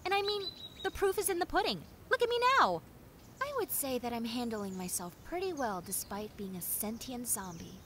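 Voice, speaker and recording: A woman playing a friendly, clumsy young female zombie in a roleplay audio drama, talking in a chatty, expressive, rambling way. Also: fairly high pitch, normal speed, slight background noise. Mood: wistful, grateful. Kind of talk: roleplay audio drama, conversational monologue addressed to listener.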